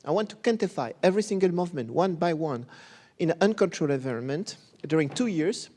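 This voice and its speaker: A man from Belgium, a professor giving a candid, lively conference talk. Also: fairly high pitch, quick pace. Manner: candid, self-deprecating, lively presenter.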